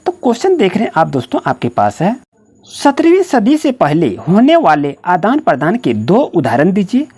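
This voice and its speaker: Female online tutor. Slightly low register, very wide pitch movement, instructional step-by-step dictation to pupils. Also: dark tone. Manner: directive, repetitive step-by-step explaining. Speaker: online school history teacher. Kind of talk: instructional lesson, dictating answers to students.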